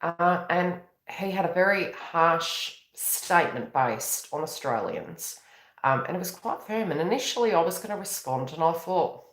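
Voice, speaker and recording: A woman's voice: fairly low pitch, animated, normal speed, reverberant, poor audio. Tired rambling New Zealand woman. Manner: rambling, conversational, frequent self-corrections and fillers. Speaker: tarot reader and astrologer. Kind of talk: live-streamed spiritual reading.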